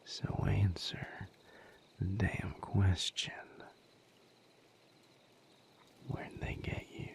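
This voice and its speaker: A man playing a protective, tender boyfriend in an intimate audio roleplay, speaking gently and earnestly in a breathy, creaky near-whisper. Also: low voice, little pitch movement, exaggerated voice. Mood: firm concern. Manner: slow, gentle, earnest, firm. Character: protective, tender male boyfriend tending his hurt partner.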